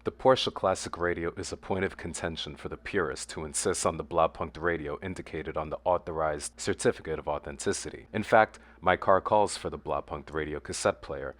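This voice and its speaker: A man's voice: sits low, fairly bright tone, dry recording. A man presenting a car vlog, moving between casual remarks and scripted narration. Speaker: car owner and vlogger.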